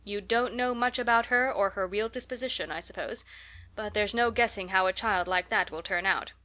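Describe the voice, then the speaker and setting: A woman's voice: mid-range voice, normal speed, sharp tone, natural voice. A woman doing a blunt gossiping village matron. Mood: disapproving. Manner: outspoken and emphatic.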